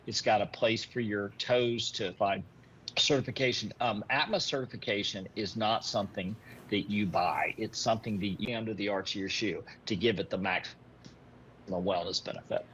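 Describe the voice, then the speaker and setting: Male brand spokesman, low-leaning voice, earnest explanatory pitch, dull rumbly noisy sound. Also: normal speed, a bit bright. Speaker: footwear brand representative. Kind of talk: conversational sales pitch with anecdotes.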